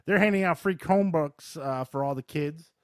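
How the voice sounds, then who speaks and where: A middle-aged man hosting a podcast, telling casual anecdotes in an animated, excitable way with some swearing. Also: on the high side, moderate pace, no room sound, studio quality. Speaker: podcast host.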